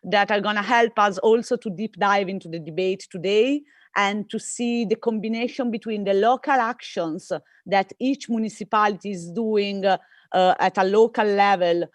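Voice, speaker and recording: A woman's voice: mid-range voice, moderate pace, no echo, clean studio sound. A woman with an Italian accent, hosting an online meeting and hesitating often with fillers. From Italy. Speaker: event host. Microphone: video call.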